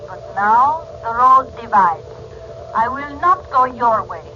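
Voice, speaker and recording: An actress playing a proud, defiant princess with haughty, formal phrasing in 1940s radio drama style. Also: female voice, fairly high pitch, expressive, slow pace, pushed and bright, heavily acted. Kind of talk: 1940s radio serial acting. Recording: muffled, noisy old radio recording.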